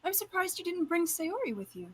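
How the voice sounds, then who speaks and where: A woman playing a composed, gently chiding high school club president in a visual novel, with a slightly husky voice. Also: very animated, fairly quick. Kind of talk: visual novel character acting.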